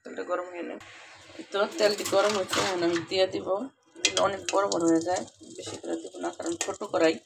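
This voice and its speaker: A woman's voice: on the low side, little pitch movement, quick pace, creaky. A woman with a Bangladeshi accent chatting casually and colloquially to viewers as she vlogs from home. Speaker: home vlogger and mother. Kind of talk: casual home cooking vlog chat. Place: at home, partly in the kitchen while frying. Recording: noisy home recording with clicks.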